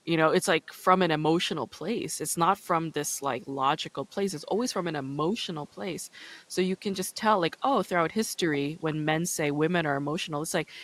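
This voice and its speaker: A woman with a low register voice hosting a podcast in casual chat. She fills her talk with "like" and "you know.". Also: brisk. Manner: chatty, frequent "like" and "you know". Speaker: podcast host and stand-up comedian.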